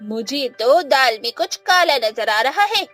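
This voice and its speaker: A woman's voice: high-pitched, animated, a little slow, fairly bright tone. A woman voicing an envious, suspicious village gossip in a storybook tale, exclaiming and insinuating. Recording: faint music behind the voice.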